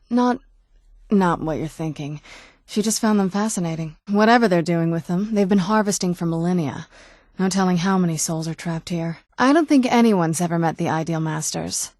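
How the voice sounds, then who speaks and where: A woman voicing a guarded, wounded young vampire noblewoman in a video game, with dry understatement and hesitant pauses. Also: fairly low pitch, darkish tone, no echo, natural voice. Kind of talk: video game character dialogue. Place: dry studio recording.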